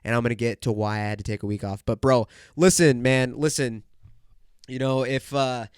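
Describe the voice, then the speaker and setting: A young male podcast host with a stuffed-up, nasal voice rattles on in a loud, jokey rant, throwing "bro" and "dude" into almost every line. His pitch sits right in the middle of the range. The recording is dry and close, with almost no room sound. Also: steady tone, moderate pace, clear, resonant tone, dry recording. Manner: hyped, joking, full of "bro" and "dude". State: congested, nasal. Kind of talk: casual solo podcast rant.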